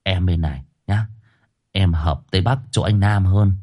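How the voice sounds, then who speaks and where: A man voicing a cheeky young man who wheedles in a hushed voice. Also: deep voice, normal speed. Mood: wheedling.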